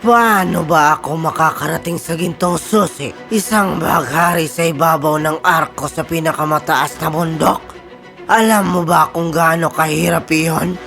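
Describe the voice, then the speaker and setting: A man voicing a gloating, scheming evil wizard in a fairy-tale cartoon, with a gravelly, taunting and theatrical delivery. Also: a little high, steady tone, moderate pace, sharp tone, faint music behind. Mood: exasperated.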